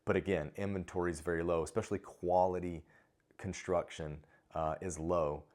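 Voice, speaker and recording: A young man co-hosting an expat travel vlog, talking in a relaxed, rambling way with fillers and restarts. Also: deep voice, normal speed. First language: English. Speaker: co-host of expat travel channel. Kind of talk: casual conversational chat.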